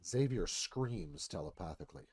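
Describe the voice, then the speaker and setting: A man's voice: sits low, animated, moderate pace, darkish tone, husky. A man narrating scripted scene directions as the narrator of a radio theatre read.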